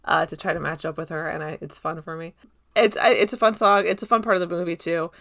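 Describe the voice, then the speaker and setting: A woman's voice: on the low side, fairly even intonation, fast, creaky, a bit bright. A woman giving casual, unscripted film commentary in a rambling, enthusiastic, conversational way. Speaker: video essayist reviewing a film.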